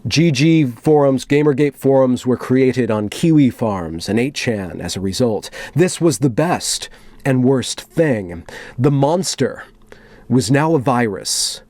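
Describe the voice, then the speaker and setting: A man reading a written post aloud as a commentary vlogger, switching between deliberate, dramatic delivery and wry, conversational asides. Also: medium pitch, animated, darkish tone, no room sound.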